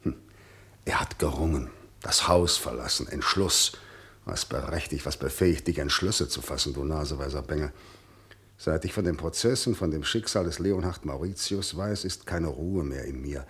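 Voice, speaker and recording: An actor playing a cold, authoritarian middle-aged prosecutor and father in a German radio drama, formal, sardonic and husky. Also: very deep voice, moderate pace, fairly bright tone, full character voice. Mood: sardonic, contemptuous.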